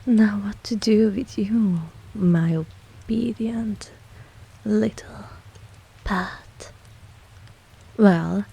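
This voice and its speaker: A woman playing a seductive, possessive vampire mistress in an intimate ASMR audio roleplay, breathy and often whispering, teasing and commanding. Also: on the low side, dark tone, exaggerated voice. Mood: pleased, possessive. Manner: slow, teasing, dominant, with pet names.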